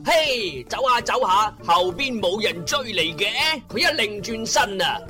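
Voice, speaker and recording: A man with a Cantonese accent narrating a comic internet radio drama in a theatrical, colloquial storytelling style with drawn-out words. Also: high-pitched, animated, sharp tone, soft background music.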